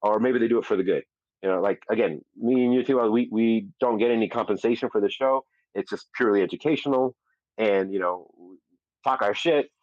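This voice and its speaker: A man's voice: on the low side, brisk, a little mellow, no room sound. A man hosting a casual live audio show, talking in a rambling, profane way with many restarts, heard over a dull, lo-fi online audio chat. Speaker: host.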